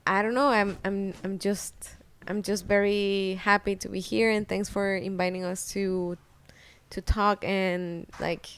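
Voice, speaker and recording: A woman's voice: medium pitch, fairly bright tone, clean tone. A woman from Mexico, a guest artist, answering interview questions hesitantly with many "um" fillers into a handheld mic. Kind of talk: casual interview. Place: living room.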